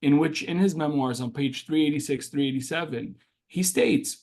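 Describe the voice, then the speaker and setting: A young man born in Syria, with Arabic as his first language, telling his childhood story to an interviewer. He speaks earnestly at a middle pitch, often stumbling and restarting his sentences as he goes. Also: darkish tone. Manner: earnest, with frequent restarts and fillers. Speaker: guest.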